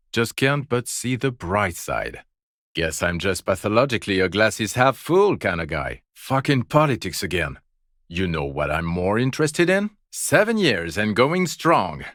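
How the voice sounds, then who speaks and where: Jaded male detective, extremely low voice, dry studio sound, sarcastic, exasperated, foul-mouthed, video game character. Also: fairly bright tone, dry recording, heavily acted. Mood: bitter sarcasm.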